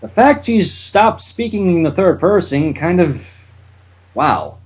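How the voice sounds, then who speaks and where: Male host reading aloud, husky, lively pitch, wry asides between passages. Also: slow. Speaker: channel host and reader. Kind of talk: casual fan fiction reading.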